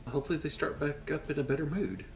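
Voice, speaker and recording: A man's voice: fairly high pitch, animated, quick pace. A man chatting casually as a player in a tabletop roleplaying game. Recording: music behind the voice. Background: music.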